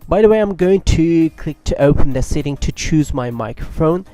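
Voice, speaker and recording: A man hosting a tech tutorial, narrating software steps into a computer microphone. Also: fairly high pitch, expressive, moderate pace. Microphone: USB microphone at a computer.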